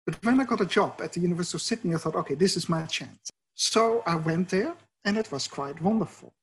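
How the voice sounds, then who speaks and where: A man's voice: high-pitched, fast, slightly rough. A middle-aged man from the Netherlands with a Dutch accent, a professor answering interview questions in a thoughtful, explanatory way. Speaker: professor and researcher.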